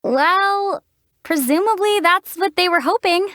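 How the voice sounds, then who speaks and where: An actress voicing a calm, wry young female traveler hero in a video game, giving dry teasing explanations and sharp battle shouts. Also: very high voice, a little slow, no room sound. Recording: dry close studio voice.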